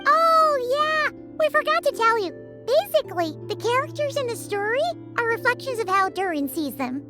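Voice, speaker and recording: Squeaky, excitable little girl companion. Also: normal speed. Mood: cheerful. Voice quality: squeaky, childlike. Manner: exclamatory, eager. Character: small, excitable, childlike floating companion girl. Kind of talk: video game character acting. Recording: music behind.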